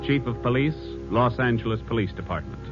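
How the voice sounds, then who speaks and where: Man, old radio announcer, slow measured formal delivery, dull sound, music under. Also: mid-range voice.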